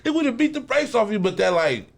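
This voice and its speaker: A man's voice: extremely high pitch, big pitch swings, a little fast, creaky. A man from Portland, Oregon, telling neighborhood stories in a loose, rambling podcast chat full of filler and swearing. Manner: laid-back, rambling, heavy filler "like" and profanity. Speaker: podcast guest. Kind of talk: casual storytelling chat.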